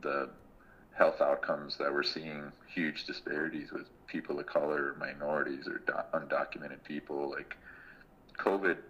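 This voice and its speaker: A man in his thirties, a guest in a casual interview, speaking in a thoughtful, reflective way and pausing often mid-sentence. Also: a bass voice, flat delivery, sharp tone, muffled recording. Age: 30s. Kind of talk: casual conversation.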